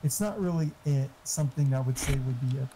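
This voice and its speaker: Informal male tech helper, muffled dark voice, faint background noise, chatty and explanatory. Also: medium pitch, fairly quick. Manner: informal, explanatory, mildly profane. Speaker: friend giving tech advice. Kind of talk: casual chat.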